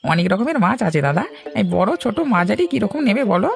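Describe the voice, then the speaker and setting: A woman acts an obliging male market fish seller in a cartoon. The voice is creaky and animated, moving at a quick pace over faint music. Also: medium pitch. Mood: eager. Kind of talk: cartoon character dialogue.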